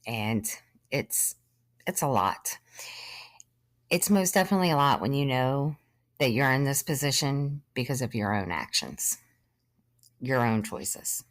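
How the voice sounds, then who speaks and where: A woman in her thirties, a podcast host sharing her own story in an earnest, measured way, sometimes short of breath and pausing. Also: a bass voice, expressive, no room sound. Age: 30s. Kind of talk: personal testimony monologue.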